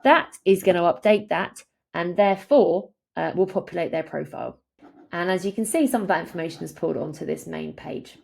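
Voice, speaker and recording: A woman presenting a software tutorial, explaining each step as she walks through the screen. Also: on the low side, fairly quick, gravelly. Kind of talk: instructional screen walkthrough.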